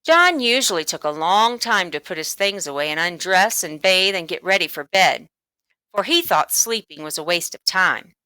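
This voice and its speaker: A woman reading a children's book aloud as expressive, deliberate scripted narration. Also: fairly low pitch, no room sound, low-quality recording. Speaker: storybook reader.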